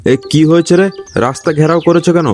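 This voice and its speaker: A man's voice: fairly high pitch, steady tone, quick pace, darkish tone, faint music behind, close to an everyday voice. A man voicing a grumpy, foul-mouthed older village man in a comic cartoon, scolding and threatening indignantly. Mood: suspicious, gruff.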